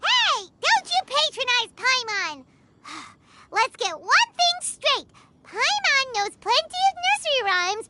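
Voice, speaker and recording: A tiny floating fairy girl from a video game, extremely high and squeaky. She talks like an excitable, easily indignant child, with a very animated pitch that leaps around. Her delivery is unhurried, so each reaction is drawn out. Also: heavy background noise, full character voice. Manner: childlike, refers to herself in the third person.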